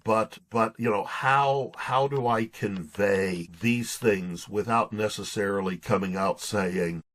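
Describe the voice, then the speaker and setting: A man's voice: lowish voice, slow, a bit bright. A man speaking as a guest author in an interview, conversational, often restarting and repeating his words as he goes.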